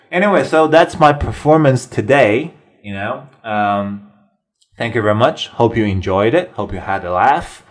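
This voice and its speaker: Animated bilingual comic, man. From China. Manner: animated, jumps high in pitch on exclamations. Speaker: English-teaching comic host. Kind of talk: bilingual stand-up comedy lesson.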